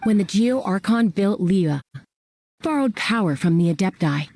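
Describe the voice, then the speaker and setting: A woman's voice: mid-range voice, animated, moderate pace, a little mellow. A woman playing a composed, knowing inn boss with a wry manner in a video game. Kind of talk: game voice acting. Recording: music playing behind.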